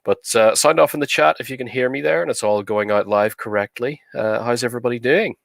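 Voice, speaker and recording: A man's voice: mid-range voice, fast, creaky, dry recording. A middle-aged man with a cold, hosting a live stream in casual chat with many fillers. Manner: relaxed, chatty, many fillers. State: has a cold. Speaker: livestream host.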